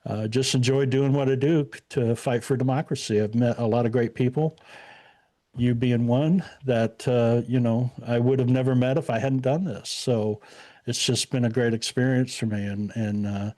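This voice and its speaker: A man's voice: medium pitch, steady tone, normal speed, warm tone. A man in his sixties, a retired guest, talking about himself in an easygoing, rambling way with lots of fillers. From Indiana. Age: 60s. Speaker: podcast guest. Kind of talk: casual interview chat.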